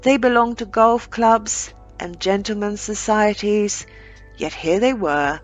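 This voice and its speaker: A woman narrating a scripted short story aloud, with deliberate phrasing and dramatic pauses. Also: medium pitch, faint music behind.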